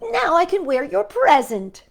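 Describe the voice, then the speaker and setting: A woman voicing an excitable, devoted male toad in a children's storybook, exclaiming earnestly. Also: very high-pitched, unhurried, gravelly, voice pushed up, heavily acted. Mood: excited, delighted. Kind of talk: children's story read-aloud.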